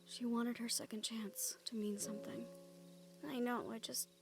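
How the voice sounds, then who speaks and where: A woman acting an affectionate young fantasy fighter in an intimate audio roleplay, speaking softly with tender, playful warmth and an undertow of grief. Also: a little high, flat delivery, moderate pace, music in the background, natural voice. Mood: grieving, tender. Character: affectionate young woman, supernatural fighter, grieving yet teasing.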